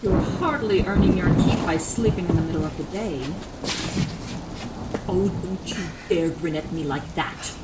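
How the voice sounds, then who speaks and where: A woman's voice: fairly low pitch, unhurried, lightly acted. A young woman playing a cook who chides and teases in a scolding, playful way, in an audio drama. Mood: chiding, teasing. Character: young female cook, chiding and teasing. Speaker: voice actor. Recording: distant, echoey, noisy with rain ambience.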